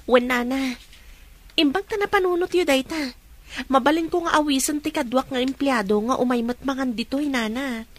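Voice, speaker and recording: A woman's voice: sits high, moderate pace. An actress playing an outspoken, eager young woman in a radio drama, with a Filipino Ilocano accent. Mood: friendly.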